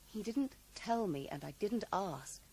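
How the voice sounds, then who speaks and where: Guarded, sharp-tongued woman, lively intonation. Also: medium pitch, unhurried, slightly rough. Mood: reluctant, defensive. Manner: curt, evasive, coolly defiant. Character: guarded, sharp-tongued woman writer shielding a dead lover. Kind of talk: radio drama acting. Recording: noisy 1980s radio drama broadcast.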